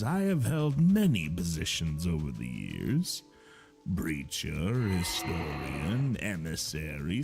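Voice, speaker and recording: Man doing a mysterious old male salesman, theatrical, deep-ish, with music under. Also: gravelly, exaggerated voice. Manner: slow, theatrical, grandly drawn-out. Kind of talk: storytelling narration.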